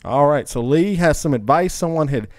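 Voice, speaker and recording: A man's voice: a little high, animated, moderate pace, over music. A man hosting a casual podcast, bantering and debating in a colloquial, opinionated, teasing way. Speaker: podcast host.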